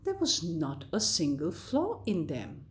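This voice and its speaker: A woman storyteller reading a scripted fairy tale to children in a deliberate, expressive way. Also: low voice. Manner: deliberate, expressive read-aloud delivery addressed to children.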